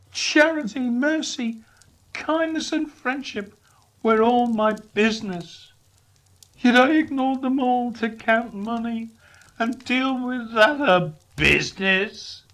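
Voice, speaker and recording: A sorrowful storybook ghost of a dead businessman, acted by a male reader, pitched high and wailing. He draws his words out slowly and solemnly, full of regret. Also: fairly bright tone, exaggerated voice. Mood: anguished outcry. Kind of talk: dramatic storybook reading.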